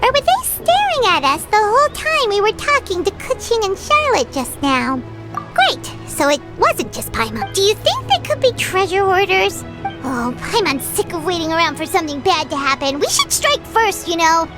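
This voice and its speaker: A squeaky, excitable little fairy sidekick. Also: female voice, normal speed. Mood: conspiratorial, eager. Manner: speaks of herself in the third person, emphatic. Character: small excitable childlike female fairy companion, bossy, suspicious. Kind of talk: animated video game voice acting. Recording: music behind the voice. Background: background music.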